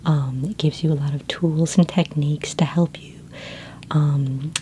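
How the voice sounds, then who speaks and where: Female ASMR performer as friendly space librarian: soft whispery delivery, dark warm tone, faint background noise. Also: low voice, fairly even intonation, a little slow. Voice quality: soft, breathy near-whisper. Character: friendly, helpful sci-fi librarian woman. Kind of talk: soft-spoken ASMR roleplay.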